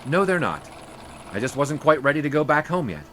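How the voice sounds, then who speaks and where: An actor playing an earnest young sportswriter in an audio drama, speaking in a halting, hushed, apologetic way. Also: male voice, a little high, sing-song, a little hiss or hum. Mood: weary.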